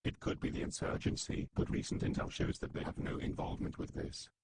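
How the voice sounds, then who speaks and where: A man's voice: a little fast, darkish tone, a little hiss or hum, natural voice. A man playing a grim secret-organisation council member in a whispery, voiceless tone, in dramatic meeting dialogue. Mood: grave, analytical.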